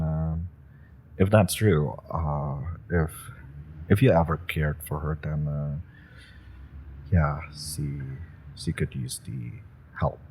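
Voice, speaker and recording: A man's voice: low-pitched, a little mellow, husky, exaggerated voice. A man voicing an eager, nervous young rookie villain who stammers and hesitates, in an audio roleplay. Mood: nervous, pleading. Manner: hesitant, stammering, many fillers. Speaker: listener roleplay actor.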